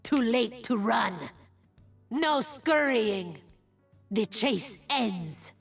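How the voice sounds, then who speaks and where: Slow, menacing jungle huntress. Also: female voice, a little high, animated, husky, far from the mic, soft background music, heavily acted. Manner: terse, deliberate, menacing. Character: fierce, proud adult huntress who shifts into a cougar. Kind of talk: video game character voice lines.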